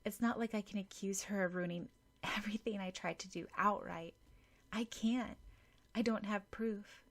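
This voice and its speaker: A woman voicing a sweet, earnest girl in an audiobook, speaking softly. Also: medium pitch, normal speed, close to an everyday voice. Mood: earnest, resolute. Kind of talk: audiobook character dialogue.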